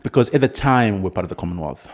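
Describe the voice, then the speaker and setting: A man in his thirties from Zimbabwe, a conductor and podcast guest, who talks in halting restarts and laughs often. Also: deep voice, expressive. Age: 30s. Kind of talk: casual interview conversation. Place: conductor's room in a music building, indoors.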